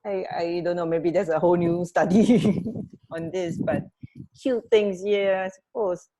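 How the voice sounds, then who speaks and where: Low-voiced woman in casual radio chat. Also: steady tone, a little slow, dark tone, slight background noise, low-quality recording. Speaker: radio show co-host.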